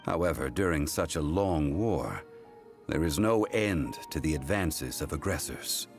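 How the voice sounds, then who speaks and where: Deep, measured ancient gentleman. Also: music in the background, heavily acted. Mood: stern. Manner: calm, formal, measured. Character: ancient, composed male consultant of contracts. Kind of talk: video game voice acting.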